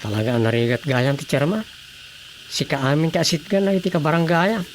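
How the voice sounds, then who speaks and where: Brief: woman playing a subdued young man in a radio drama, low pitch, slightly distant. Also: fairly quick, a little hiss or hum, close to an everyday voice.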